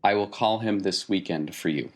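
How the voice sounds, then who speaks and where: A man's voice: sits low, moderate pace. A man playing a patient but evasive wandering mystic uncle in a tabletop game, reflective and given to repeating himself. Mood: reluctant.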